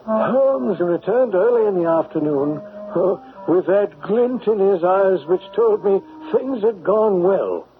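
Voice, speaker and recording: An actor playing a bumbling, good-hearted detective's companion, hesitant, muttering and puzzled, in an old-time radio drama. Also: male voice, high-pitched, lively intonation, slow pace, faint music behind. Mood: pleased. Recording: tinny, noisy old radio broadcast.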